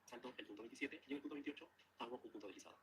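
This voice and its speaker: A man's voice: medium pitch, racing, noisy background. A man giving methodical, matter-of-fact, step-by-step craft instructions as a narrator. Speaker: crochet instructor.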